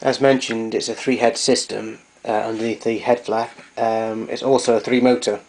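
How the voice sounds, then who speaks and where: A man's voice: lowish voice, little pitch movement, normal speed, darkish tone. Man hesitantly explaining, noisy recording. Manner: hesitant, explanatory, often correcting himself. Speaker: vintage tape recorder hobbyist demonstrating his machine. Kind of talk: informal equipment demonstration. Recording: clearly noisy recording with clicks.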